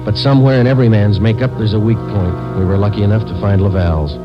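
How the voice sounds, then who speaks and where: A man's voice: lowish voice, quick pace, natural voice. An actor playing a stoic American police sergeant who narrates his case tersely in a 1950s radio crime drama. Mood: deadpan, grave. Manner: terse, matter-of-fact, deadpan. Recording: dull vintage radio recording, loud music.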